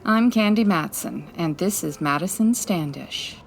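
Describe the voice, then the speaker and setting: Woman acting as a lively, low-voiced wry lady detective. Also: a little slow, gravelly, over music. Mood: wry, brisk. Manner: wry, teasing, arch. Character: wry, quick-witted 1940s female private detective. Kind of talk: comedy audio drama acting, old-time radio detective.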